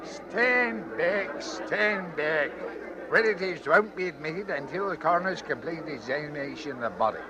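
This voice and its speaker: A man's voice: fairly high pitch, animated, moderate pace, a crowd in the background, lightly acted. An actor playing a stolid, dismissive working-class British policeman in an old radio drama, speaking colloquially and unimpressed. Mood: officious.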